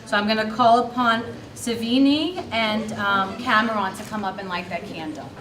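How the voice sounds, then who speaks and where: A woman hosts a ceremony, speaking in a plain, steady announcing voice. She is picked up from across a reverberant room, with noticeable background noise. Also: mid-range voice, moderate pace. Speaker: event host. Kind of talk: ceremony hosting. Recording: echoey room, distant mic, noise.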